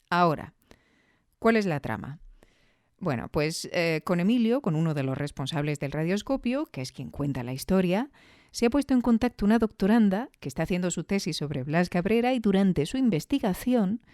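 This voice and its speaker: A woman's voice: lowish voice, warm tone, dry recording, clean studio sound. A woman with a Spain Spanish accent, hosting a show in a conversational, reflective, explanatory way. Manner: reflective, explanatory, with rhetorical questions. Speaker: audio fiction review show host. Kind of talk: conversational commentary.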